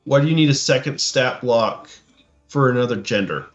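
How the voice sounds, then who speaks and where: A man's voice: medium pitch, moderate pace, highs cut off, soft background music. A man co-hosting a podcast with casual reaction commentary, often stammering and restarting his phrases. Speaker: podcast co-host.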